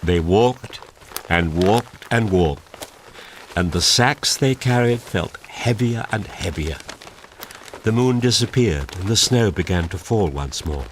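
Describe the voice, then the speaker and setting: A man's voice: low-pitched, lively intonation, takes it slowly, a little hiss or hum. A man narrating a scripted children's story as a storyteller. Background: music.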